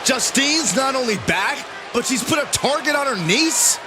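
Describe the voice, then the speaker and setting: A man playing an astonished, excitable wrestling commentator in a video game, calling the action in a raised voice. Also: very high voice, moderate pace, music in the background.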